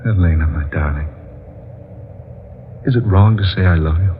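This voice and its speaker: A male actor gives an earnest, heroic young doctor stranded on another world, with a very deep voice. His lines come declaimed in classic 1940s radio-drama fashion. The sound is thin and tinny, like an old broadcast, with a faint hiss beneath. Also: normal speed, close to an everyday voice. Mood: tender.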